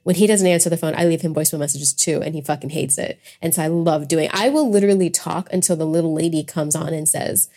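A woman's voice: deep voice, fast, few pauses, dark tone. An American woman hosting a casual solo podcast, talking in a chatty, informal, rambling way. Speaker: podcast host.

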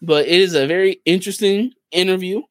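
A man with a slightly high voice talks casually as a podcast co-host. He retells things in an animated way, slipping into quoted dialogue. The recording is very dry and close, without room sound. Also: a little fast, dry recording.

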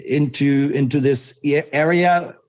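A man in his forties who grew up in Germany speaks as an interview guest, his voice sitting slightly higher than most men's. He is a pastor, earnest and thoughtful, often backing up and restarting a phrase as he recalls the details of his story. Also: unhurried, a little mellow. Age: 40s.

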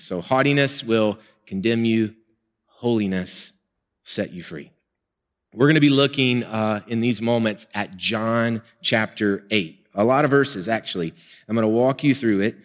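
Us pastor guy, low-ish voice, earnest chatty preaching, echoey church hall. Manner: earnest, conversational preaching with small laughs. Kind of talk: sermon and prayer. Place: church sanctuary. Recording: music and long hall reverb.

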